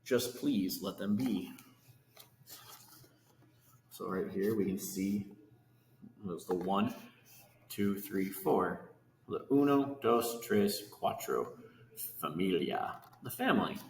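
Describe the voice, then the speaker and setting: A man's voice: low voice, expressive, slow pace, long pauses. A man reading a children's storybook aloud, stopping to explain things to young listeners. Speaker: story reader. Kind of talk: animated children's storybook read-aloud with asides. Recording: echoey hard room, long reverb, hiss.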